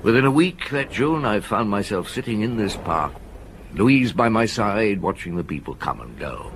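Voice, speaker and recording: An actor playing Maigret, a genial, reflective French police inspector past fifty, in radio drama style, unhurried and given to murmured hums. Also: male voice, lowish voice, very animated, a little hiss or hum. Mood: reminiscing. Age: older. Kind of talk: British radio drama acting.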